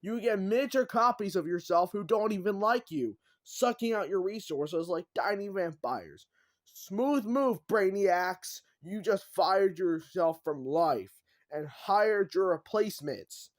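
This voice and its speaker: Man putting on a brash, high-pitched chaos demon. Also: lively intonation, unhurried, dry recording. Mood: mocking, ranting. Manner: theatrical, taunting, bombastic. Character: brash male chaos demon, mocking salesman and schemer. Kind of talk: animated audiobook character reading.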